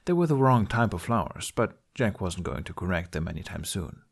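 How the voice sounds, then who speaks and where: A man reading a fantasy story aloud as an expressive amateur narrator. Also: deep voice, a little fast, creaky, breathy edge. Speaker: amateur podfic reader.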